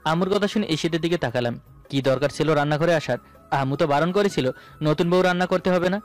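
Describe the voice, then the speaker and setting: Male narrator for romantic audio fiction, higher-pitched, first-person scripted read, music bed. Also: fast. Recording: voice over background music.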